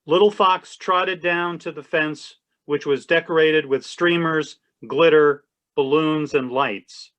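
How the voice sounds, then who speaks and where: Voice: medium pitch, fairly even intonation, slow pace, few pauses, a bit bright. A man narrating a children's storybook aloud in a readers theatre. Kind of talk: storybook reading.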